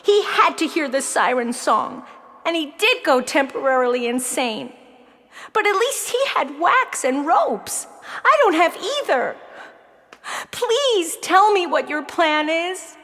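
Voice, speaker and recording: A woman's voice: high-pitched, very animated, slow, sharp tone, full character voice. A woman playing an emotional, brainy nine-year-old girl in a children's audio play, melodramatic and pleading. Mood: anxious, pleading. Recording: long reverb on the voice.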